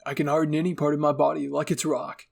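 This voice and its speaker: A man plays a young fantasy adventurer from an anime roleplay, eager and good-hearted. His voice is husky with a gravelly creak, and he talks in a friendly, enthusiastic way. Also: fairly high pitch, little pitch movement, fast talker, a little mellow. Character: cheerful, earnest young redheaded male fantasy adventurer. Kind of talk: anime fan roleplay acting.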